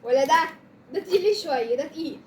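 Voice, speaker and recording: A woman's voice: high voice, expressive, fast, background noise. A young girl with an Egyptian Arabic accent, chatting casually as she hosts an unboxing video and gives instructions to a younger child. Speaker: kids' channel host.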